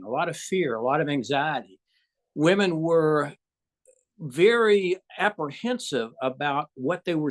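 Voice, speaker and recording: A man in his sixties with a Southern US accent, a physician answering interview questions in a measured, thoughtful way with frequent pauses. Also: on the high side. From Southern United States. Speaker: physician guest.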